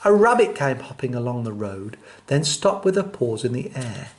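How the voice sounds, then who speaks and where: A man's voice: mid-range voice, sing-song, normal speed. A man presenting and reading storybooks expressively for children.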